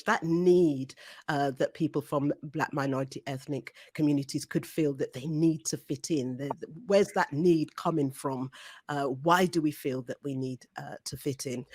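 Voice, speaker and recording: A woman's voice: deep voice, slightly rough, dry recording, clean studio sound. A woman hosting a panel discussion, asking encouraging and probing questions. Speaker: host.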